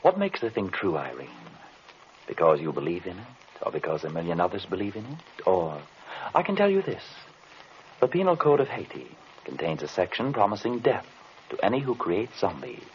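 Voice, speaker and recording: An actor playing an enigmatic, learned male occult investigator in an old radio drama, speaking formally and deliberately in a theatrical way. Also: deep voice, sing-song. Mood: ominous, musing. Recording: muffled, lightly noisy vintage radio recording.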